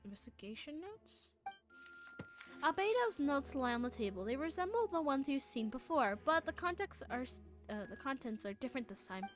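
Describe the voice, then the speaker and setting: A woman livestreaming a game with casual commentary, muttering asides and haltingly reading text aloud, correcting herself as she goes. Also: sits high, very animated. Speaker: live game streamer. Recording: music playing behind the voice. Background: game music.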